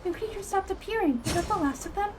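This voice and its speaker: A woman voicing a small, excitable, curious companion sprite in a game, exclaiming and asking wide-eyed questions. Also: very high-pitched, fast talker, background noise, voice pushed up. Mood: hopeful.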